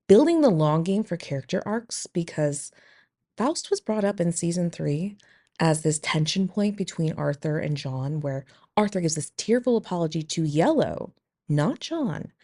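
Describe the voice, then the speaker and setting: A woman's voice: on the low side, a little mellow. A woman leaving a voice message as a listener, giving a thoughtful commentary in monologue.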